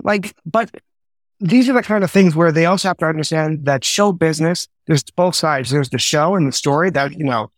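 A man's voice: on the high side, no echo. A man speaking as a guest in a casual interview, emphatic and joking.

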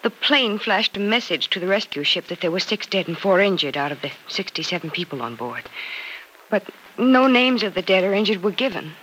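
An anxious, weary young woman in an old radio serial. Her voice is bright and swings widely in pitch. The vintage recording has a faint noise behind it. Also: big pitch swings, brisk, rough recording. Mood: grave, subdued. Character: anxious, weary young woman awaiting news of loved one. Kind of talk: old-time radio soap opera acting.

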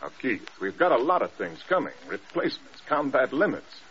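An actor playing a frank, level-headed American air force staff officer in a radio drama, plain-spoken. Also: male voice, medium pitch, very animated, normal speed, a bit bright. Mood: calming, firm. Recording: dull, noisy old radio recording.

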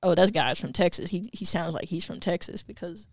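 A woman's voice: on the low side, fairly quick, no room sound, clean studio sound. A woman chatting casually, talking with frequent "like" and restarts. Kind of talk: casual chat.

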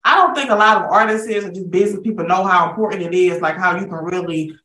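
A woman's voice: lowish voice, fast, bright, forceful tone. A woman hosting a casual interview, chatting in slang and affirming what her guest says. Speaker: interviewer, host. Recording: roomy, echoing voice pickup.

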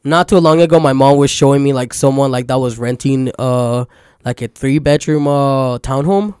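Young Venezuelan-accented man, smooth voice. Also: medium pitch, normal speed, dry recording, studio quality. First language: Spanish. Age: young adult. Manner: rambling, frequent "like" fillers, relaxed storytelling. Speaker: podcast guest. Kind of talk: casual chat.

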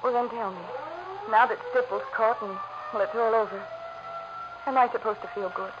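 A woman's voice: on the high side, fairly even intonation, moderate pace, natural voice. An actress playing a grieving, uncertain young woman, hesitant and earnest, in 1940s radio drama style. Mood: hesitant, subdued. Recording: muffled, hissy old radio recording.

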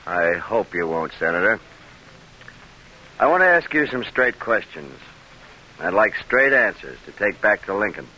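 An American actor playing a blunt, probing lawyer in an old-time radio drama. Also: male voice, lowish voice, sing-song, a little slow, pauses often, bright, forceful tone, slight background noise, heavily acted, rough recording. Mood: blunt, earnest. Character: blunt, probing male lawyer, loyal go-between. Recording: vintage radio broadcast recording.

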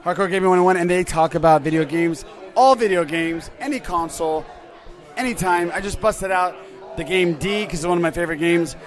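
High pitched guy hosting a chatty podcast, into it, loud crowd all around. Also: brisk, soft background music. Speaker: podcast host. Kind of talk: casual chat interview. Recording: busy crowd noise around the voices.

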